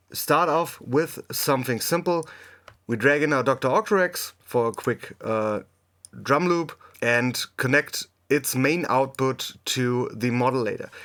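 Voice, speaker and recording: A man narrates an unscripted music software tutorial at a slow pace. He is a native German speaker with a mid-pitched voice, thinking aloud in a halting way as he demonstrates. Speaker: music production tutorial host.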